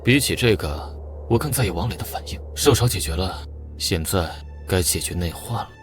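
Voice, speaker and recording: A very low-voiced male hero commander in an animated comic drama. He is cool and controlled, and every order or threat carries quiet menace. Loud music plays behind him. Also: normal speed. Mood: cool, resolute. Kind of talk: motion-comic drama dubbing.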